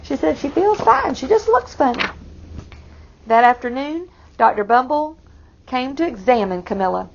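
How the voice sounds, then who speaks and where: A woman with a fairly high, gravelly-edged voice reads a picture book aloud to young children. Her delivery is expressive and she adds simple explanations as she goes. The recording is dull and lo-fi. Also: moderate pace, a little hiss or hum. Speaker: read-aloud storyteller for children.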